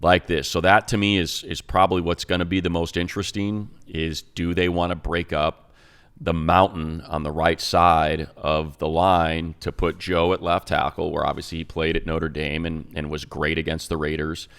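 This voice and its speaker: A man's voice: low voice, level tone, normal speed, fairly bright tone, clear, resonant tone. An American man co-hosting a sports talk show, a radio announcer breaking down team news conversationally, thinking aloud with restarts. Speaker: radio play-by-play announcer and co-host.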